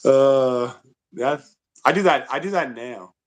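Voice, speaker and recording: A man co-hosting a podcast, chatting casually with crude jokes and quick wisecracks. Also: medium pitch, rough recording. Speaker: podcast co-host. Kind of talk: casual chat.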